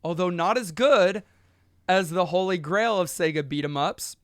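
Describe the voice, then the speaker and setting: A man with a notably high voice reads listener emails aloud on a podcast, keeping a steady pace and breaking in with jokes. The sound is close and completely dry, with no room around it. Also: moderate pace, dry recording. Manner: reads listener letters steadily, with joking asides. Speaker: podcast co-host.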